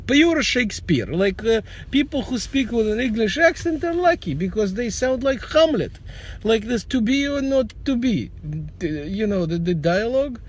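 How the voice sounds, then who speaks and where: A middle-aged man from Russia with a Russian accent, rambling and joking deadpan in a casual vlog from his car. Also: extremely high pitch, moderate pace. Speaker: vlogger. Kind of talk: casual monologue to camera. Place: in a car while driving. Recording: road noise in a moving car.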